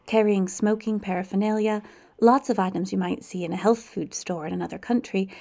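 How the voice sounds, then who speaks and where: A woman with a gravelly, fry-edged voice narrates a walking tour. She speaks in a measured, informative way, with a dry, lightly wry touch. Her pitch sits in a middle range. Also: mellow. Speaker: tour guide.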